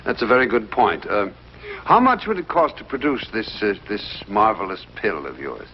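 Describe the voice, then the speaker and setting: Hesitant polite american govt official, very animated pitch, old muffled tv drama sound. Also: male voice. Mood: probing. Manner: halting, deliberate, formal and polite. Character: hesitant, courteous government official, a cabinet secretary. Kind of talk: mid-century American TV drama acting. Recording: dull, noisy vintage TV soundtrack.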